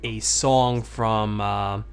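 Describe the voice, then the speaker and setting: A man's voice: fairly low pitch, steady tone, drawn-out words, soft background music. A man talks through his old videos in a loose, unscripted way. He is still getting over a cold and has a slight cough. He rambles, stops to say um, and restarts his sentences often. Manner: rambling, hesitant, many ums and restarts. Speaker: video commentator. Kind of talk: casual spoken commentary.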